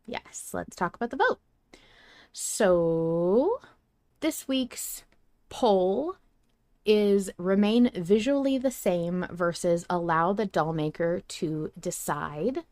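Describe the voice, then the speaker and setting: A woman's voice: on the low side, expressive, slow pace, a little mellow, no echo. A woman hosting a podcast, talking casually and with plenty of opinions in a fan discussion. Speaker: podcast host.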